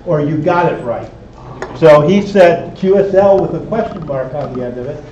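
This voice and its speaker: A man's voice: fairly high pitch, normal speed, dark tone. A man instructing a group in practical, explanatory fashion, often checking that they follow. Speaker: instructor at an amateur radio club. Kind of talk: hands-on group teaching, explaining to a room. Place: indoor club meeting room. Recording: echoey room, distant dull mic, faint noise.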